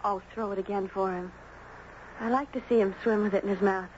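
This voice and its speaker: An actress playing a naive, wistful young girl, gentle and curious, with a childlike simplicity, in a 1970s radio drama. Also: medium pitch, fairly even intonation, a little fast, lightly acted. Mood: admiring, curious. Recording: muffled, very noisy old radio recording.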